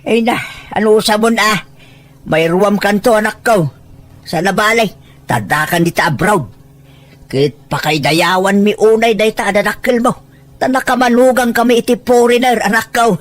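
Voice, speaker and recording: A woman's voice: mid-range voice, normal speed, close to an everyday voice. A woman with a Filipino accent playing a boastful, money-minded older parent in an Ilocano audio drama, pushy and full of exclaimed questions. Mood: indignant, scolding.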